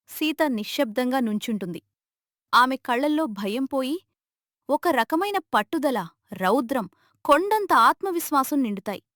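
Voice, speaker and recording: A woman narrating an animated drama story in a dramatic, expressive way. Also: high-pitched, no room sound, studio quality.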